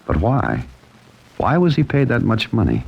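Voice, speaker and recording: An actor playing a wry, cool-headed amateur sleuth, with dry, clipped delivery in the style of an old-time radio mystery. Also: male voice, lowish voice, huge pitch swings, normal speed, creaky, husky, lo-fi sound, heavily acted. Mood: tense, determined. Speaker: narrator and lead character. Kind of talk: old-time radio mystery acting, hardboiled first-person narration.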